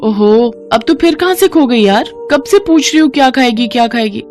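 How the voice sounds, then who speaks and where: A woman's voice: a little high, expressive, moderate pace, darkish tone, voice pushed up, natural voice. A woman voicing a wealthy, bubbly college girl in a cartoon story, chatting in a chummy, breezy way over music.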